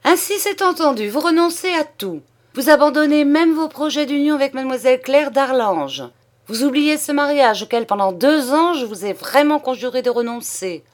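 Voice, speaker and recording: A woman's voice: on the low side, a little slow, lightly acted. A haughty old nobleman , as a woman reader puts him on, who speaks with cutting irony and swings from cold scorn to outbursts. His storybook voice carries the weight of an imperious, easily provoked father. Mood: ironic, scornful. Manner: haughty and ironic, flaring into shouts. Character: proud, hot-tempered old male aristocrat.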